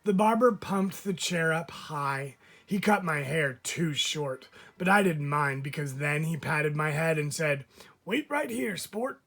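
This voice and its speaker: A man, a teacher, reading a children's picture book aloud with lively, expressive storytelling. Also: on the high side, unhurried, few pauses.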